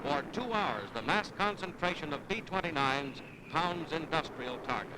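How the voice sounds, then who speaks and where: A man's voice: on the high side, lively intonation, normal speed, heavy background noise. A man with an American accent reading a scripted wartime newsreel narration in a dramatic, declamatory 1940s style. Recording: vintage 1940s newsreel soundtrack, loud music. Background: loud musical score.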